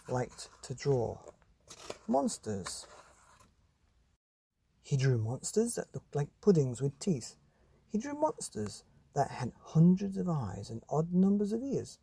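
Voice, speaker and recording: A man hosting and narrating a children's bedtime story, with dramatic, deliberate pauses. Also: medium pitch, vocal fry, mellow, husky, no echo. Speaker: podcast host and storyteller.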